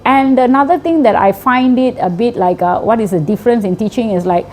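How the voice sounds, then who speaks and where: A middle-aged woman with a Malaysian English accent talks through her teaching in an interview. Her voice is mellow and unforced, and she keeps checking in with quick tag questions. A faint hiss sits under the recording. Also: fairly high pitch. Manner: explanatory, often ends with a tag question. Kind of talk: interview answers.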